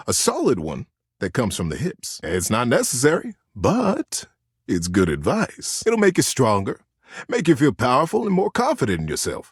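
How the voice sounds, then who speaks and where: Cuddly husky teddy bear, wildly expressive. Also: male voice, on the high side, no echo, studio quality, exaggerated voice. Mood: plucky, encouraging. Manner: cozy, encouraging, folksy advice-giving with drawn-out words. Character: cuddly, earnest, big-hearted talking teddy bear. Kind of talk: video game character acting.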